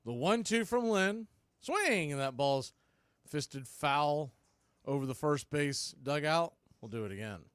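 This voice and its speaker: Male baseball announcer, slightly high-pitched, live play-by-play in short clipped phrases, dry sound. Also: expressive, measured pace, fairly bright tone, clean tone, no echo. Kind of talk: live sports commentary with score updates.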